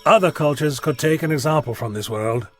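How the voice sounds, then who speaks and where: A man acting in an audio drama as an eccentric, self-assured time traveller, wordy, breezy and gently lecturing. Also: fairly high pitch, a little fast, music in the background, lightly acted. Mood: breezy, wry.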